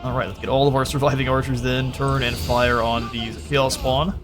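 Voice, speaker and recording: A man's voice: medium pitch, flat delivery, normal speed, music in the background. A man casually commenting on his own strategy game battle, thinking aloud as he gives orders to his units. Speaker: gaming channel host.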